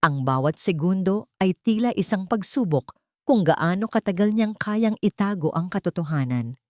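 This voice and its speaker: A woman narrating a scripted dramatic story in a solemn, deliberate, melodramatic way. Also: lowish voice, expressive, dry recording, studio quality.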